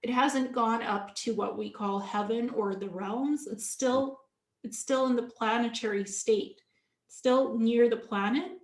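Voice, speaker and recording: Woman, from Ukraine, interview guest, earnest explaining, higher voice, roomy echo. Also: unhurried. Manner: earnest, explanatory, with frequent restarts. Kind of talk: casual interview talk.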